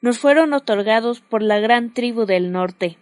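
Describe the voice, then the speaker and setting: Mujer haciendo de explorador chino, voz algo aguda. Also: female voice, on the high side, a little slow, a little mellow, no room sound, clean studio sound, lightly acted. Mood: deferential. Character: polite, calculating Chinese explorer leading a fleet. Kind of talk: radio drama acting.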